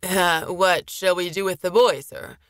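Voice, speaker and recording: A woman voicing a gruff male army sergeant in a storybook, clipped and grudging but deferential to his superior. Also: fairly low pitch, steady tone, unhurried, dry recording, studio quality, close to an everyday voice.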